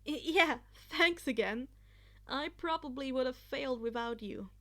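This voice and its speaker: A woman voicing a nervous, grateful teenage boy who speaks in a halting, sheepish stammer in an audiobook story. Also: high-pitched, lively intonation, bright, forceful tone, exaggerated voice. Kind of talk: acted fan fiction audiobook dialogue.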